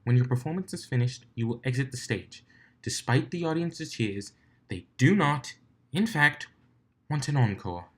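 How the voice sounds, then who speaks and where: A man's voice: medium pitch, animated, moderate pace, a bit bright, voice pushed up. A man voicing a strict, formal female pony announcing competition rules in a stern, clipped, dryly menacing manner for a fan story reading.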